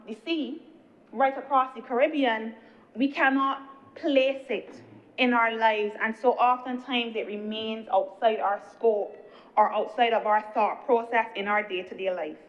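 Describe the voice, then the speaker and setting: A woman senator with a Barbadian accent, giving an earnest, measured speech in the chamber. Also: on the high side. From Guyana, raised in Barbados. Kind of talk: parliamentary speech. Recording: dull lo-fi chamber broadcast.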